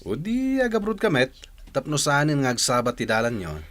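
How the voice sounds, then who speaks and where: Guy acting a young ilocano rancher playboy, hesitant n evasive, pitch jumps around a lot. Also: sing-song, brisk, fairly bright tone. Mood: questioning. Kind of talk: radio drama acting.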